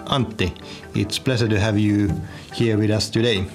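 Low gravelly host. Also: male voice, fairly even intonation, moderate pace, over music. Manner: hesitant, stumbling over words. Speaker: interview host. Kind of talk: interview questions.

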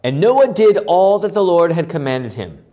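A man with a hoarse voice teaching a Bible passage, asking questions and answering them himself. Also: on the high side, very animated, moderate pace, dark tone, slight background noise. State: losing his voice, hoarse. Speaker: pastor teaching a Bible study.

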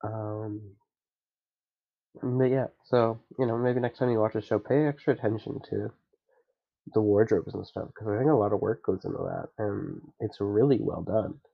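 A man's voice: lowish voice, fairly even intonation, moderate pace, gravelly, dull audio. A young man with a stuffy nose, chatting casually and rambling with lots of fillers as a podcast host. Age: young adult. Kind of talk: casual solo chat.

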